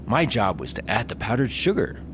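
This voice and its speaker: Excitable, eager young traveler, man playing, lively pitch, noisy plane-cabin background. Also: normal speed. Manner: excitable, pitch jumps high when excited. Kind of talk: comedy audio drama acting.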